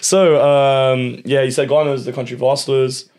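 Young man, mid-pitched, joking podcast host who asks the questions. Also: level tone, normal speed, a little mellow. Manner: joking, mock-serious, bantering. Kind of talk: casual banter.

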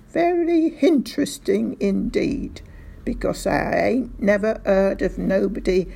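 A woman's voice: medium pitch, creaky, a little mellow, breathy edge, exaggerated voice. A woman voicing a pompous, officious village police sergeant who puts h's in front of words. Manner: slow, deliberate, officious.

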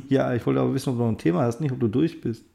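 A man's voice: medium pitch, very fast, vocal fry, mellow. A German-speaking man co-hosting a casual gaming podcast, joking and teasing while telling anecdotes. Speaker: podcast co-host.

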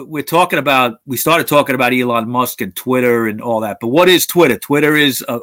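A man's voice: on the high side, fairly bright tone, no room sound, studio quality. A man hosting a talk show, speaking bluntly with frequent swearing and restarts. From United States. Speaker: podcast host. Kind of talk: opinionated casual talk.

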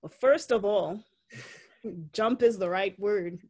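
A Trinidadian woman in her forties tells her own story in a relaxed interview. She speaks reflectively, often pausing on fillers and breaking into laughter. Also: medium pitch. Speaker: guest.

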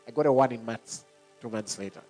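A middle-aged man preaching a sermon. He talks in an animated way and laughs often between points. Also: medium pitch, brisk, faint music behind. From Zambia.

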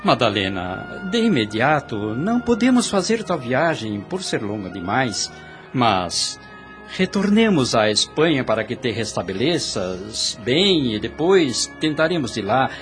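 An earnest, protective gentleman in a radio melodrama. He speaks formally and theatrically with very lively pitch movement, over prominent music. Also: measured pace. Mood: earnest, consoling. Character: devoted gentleman suitor, earnest, protective and courtly. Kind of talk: melodramatic radio soap opera acting. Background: clear background music.

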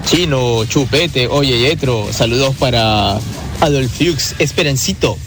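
A man's voice: mid-range voice, takes it slowly, over loud music. A man with a Lima, Peruvian accent leaving a casual voice message for a radio show. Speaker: listener sending a voice message.

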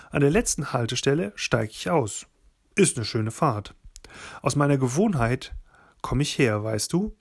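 A man reading a kindly old street musician. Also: mid-range voice. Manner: friendly, chuckling, folksy. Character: cheerful elderly male street accordion player. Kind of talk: reading a story aloud.

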